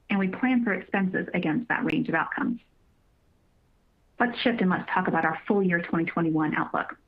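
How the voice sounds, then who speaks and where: A woman with a fairly low voice delivers scripted financial remarks over a narrow, tinny conference-call line. She sounds formal and measured, like an executive presenting to investors. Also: breathy edge. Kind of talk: prepared corporate presentation, scripted.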